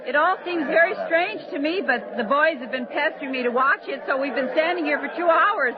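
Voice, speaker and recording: A woman's voice: high voice, a little fast, highs cut off, close to an everyday voice. An American woman playing a plain-spoken, puzzled, folksy mother at a parade in 1950s radio drama style. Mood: bemused. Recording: noisy old radio recording, crowd behind.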